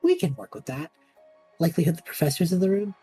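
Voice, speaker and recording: A woman's voice: lowish voice, lively intonation, quick pace, dark tone, breathy edge, soft background music. A young woman playing an easygoing, impulsive student with a playful, dry manner in a naturalistic audio drama. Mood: casual.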